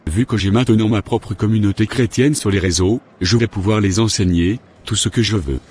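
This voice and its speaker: A man's voice: on the low side, a little fast, natural voice. A man playing a boastful, worldly backsliding preacher in an amateur cartoon, self-satisfied in manner. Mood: smug, dismissive. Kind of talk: amateur cartoon monologue and dialogue. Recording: music behind the voice.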